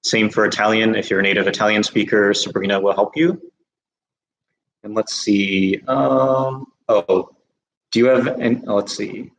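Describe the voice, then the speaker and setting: Brief: American man, lowish voice, relaxed livestream host, echoey room. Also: measured pace, a little hiss or hum. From United States. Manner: relaxed, many fillers and restarts. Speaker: host of a study-abroad livestream. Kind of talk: casual live Q&A chat.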